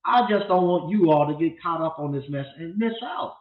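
A man's voice: fairly high pitch, normal speed. A man in his fifties hosting a casual livestream from a small home studio, talking bluntly in a loose, rambling way with lots of restarts. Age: 50s. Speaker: appliance repair instructor and channel host. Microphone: desk mic on a boom arm. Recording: echoey room, tinny phone-like sound.

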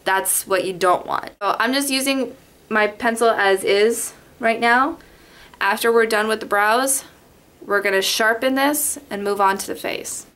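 A woman's voice: a little high, a little slow, a bit bright. A young woman from Las Vegas, chatty and rambling, talking through a makeup tutorial into a webcam in her bedroom. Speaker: beauty vlogger. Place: bedroom at home. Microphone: webcam in front.

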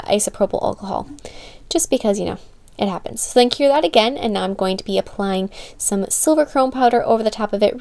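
A woman's voice: medium pitch, darkish tone. A woman giving a chatty, informal how-to voice-over, correcting herself as she goes, with a voice slightly giving out. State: slightly losing her voice. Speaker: nail art tutorial host. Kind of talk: casual how-to voice-over narration.

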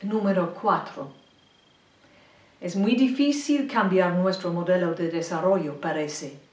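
Slow, careful older woman, roomy sound. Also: fairly low pitch, distant mic. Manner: careful, deliberate non-native phrasing. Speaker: presenter. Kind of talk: slide lecture read point by point. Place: small indoor room with a plain wall. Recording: roomy echo, mic set back.

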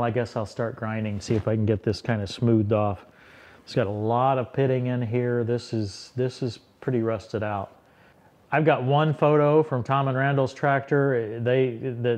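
A man's voice: mid-range voice, steady tone, moderate pace, a little mellow. A middle-aged man hosting a tractor repair video, explaining a fix to the camera in a casual, thinking-aloud way with frequent restarts, recorded close up in a workshop. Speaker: tractor repair channel host. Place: workshop beside a tractor, indoors.

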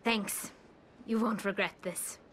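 A woman's voice: mid-range voice, fairly even intonation, slow pace, fairly bright tone, a little hiss or hum. A woman playing an earnest young female alien pilgrim in a video game, her voice filtered through a helmet. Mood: determined. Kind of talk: video game cutscene acting.

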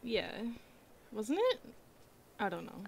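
A woman's voice: mid-range voice, lively intonation, slow pace, gravelly, background noise. A woman playing a brash, teasing, sweary young deathrocker music student in a comic ASMR roleplay. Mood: playful. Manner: sweary, mocking.

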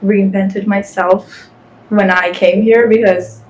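A young woman whose first language is Spanish, speaking English with a Spanish accent as an interview guest, chatty and quick with lots of "like" fillers, on a video call from home. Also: medium pitch, fairly even intonation, poor audio. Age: young adult. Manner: chatty, fast, many "like" fillers. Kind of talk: casual interview chat. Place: home room with city windows.

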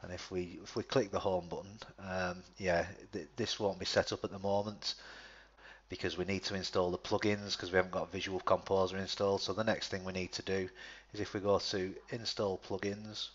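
A man with a low, even voice guides viewers through a software setup. He talks step by step, often restarting and filling gaps with "uh". The voice-over sounds a little dull and lo-fi. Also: fairly quick, husky. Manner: step-by-step, many restarts and fillers. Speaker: tutorial presenter. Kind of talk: screen-recorded software tutorial.

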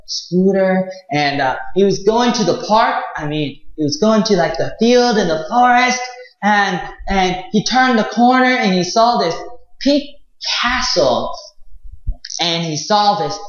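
A young adult man, an English teacher, tells an improvised fairy tale to learners in an animated, halting way, repeating phrases. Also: sits high. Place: small indoor room with whiteboard. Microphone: clip-on lapel mic. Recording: very echoey room, slightly dull sound.

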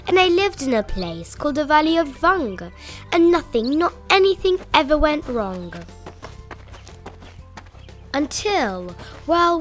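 Female read-aloud narrator for children's rhyming books, high voice, playful and very lively, music underneath. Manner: expressive, playful delivery. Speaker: children's picture book reader.

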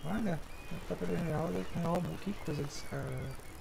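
A man's voice: fairly high pitch, normal speed. A middle-aged man with a Northeastern Brazilian accent doing casual, rambling gameplay commentary, often talking to himself. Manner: rambling, reading signs aloud and questioning himself. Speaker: gaming video host. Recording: clearly noisy home recording.

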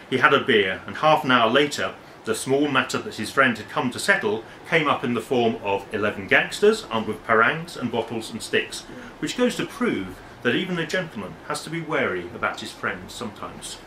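A man in his forties reads passages aloud to a small audience in a bookshop. Between passages he breaks off for hesitant, self-correcting asides. His voice is bright and forward, and it echoes around the room, caught from some distance with faint background noise. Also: medium pitch, animated, a little fast, hardly any pauses. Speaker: academic reading at a book launch. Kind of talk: public reading with casual asides. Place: bookshop, indoors. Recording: roomy echo from far off.

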